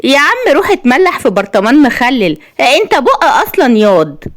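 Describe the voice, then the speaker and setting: امرأة تؤدي زوجة شابة مصرية متكبرة بصوت عالٍ حاد الطبقة. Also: female voice, sits high, big pitch swings, fairly quick, voice pushed up. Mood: haughty, cheeky. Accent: Egyptian Arabic. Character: proud, sharp-tongued young wife, wounded and tearful.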